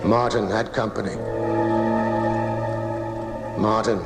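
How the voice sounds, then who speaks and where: A man narrating a 1980s radio horror drama in a deliberate, dramatic way, recorded off the air. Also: fairly low pitch, fairly even intonation, over loud music. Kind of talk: slow, eerie horror storytelling for 1980s radio.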